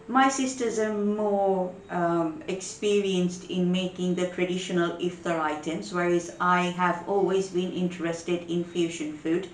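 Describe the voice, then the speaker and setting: Woman from bangladesh walking through a recipe, unhurried, careful step by step. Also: on the low side, slight background noise, low-quality recording. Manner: slow, careful step-by-step explaining. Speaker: cooking show host. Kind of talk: home cooking demonstration.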